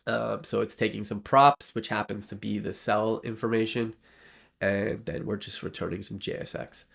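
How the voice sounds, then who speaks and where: Gravelly low man, nasal with a cold. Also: fairly even intonation, moderate pace, fairly bright tone. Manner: rambling, many fillers and restarts. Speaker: livestreaming developer. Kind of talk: casual live coding talk.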